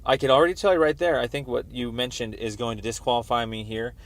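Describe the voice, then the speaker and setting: A man on a recorded phone call explaining a complaint as a caller, in a halting, wordy, roundabout way. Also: mid-range voice, fairly even intonation. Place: telephone call.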